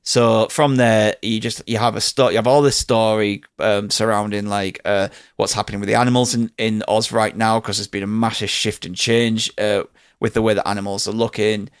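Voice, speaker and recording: Deeper-voiced British man chatting enthusiastically. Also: little pitch movement, moderate pace, clean tone, no echo, clean studio sound. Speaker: podcast host reviewing films. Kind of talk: casual chat, film review.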